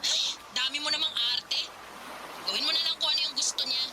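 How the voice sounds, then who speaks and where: Fast, high-pitched nagging wife, acted by a woman. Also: steady tone, heavy background noise, lightly acted. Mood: scolding, callous. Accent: Filipino. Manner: brisk, scolding, dismissive. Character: scheming, callous young wife and mother, impatient, nagging. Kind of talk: Filipino radio drama acting.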